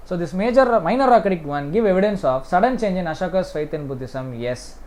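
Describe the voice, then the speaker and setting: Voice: mid-range voice, expressive, fairly quick, a little mellow. A teacher with an Indian accent lecturing through exam questions step by step, repeating points and often punctuating them with a short yes. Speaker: exam-prep history teacher.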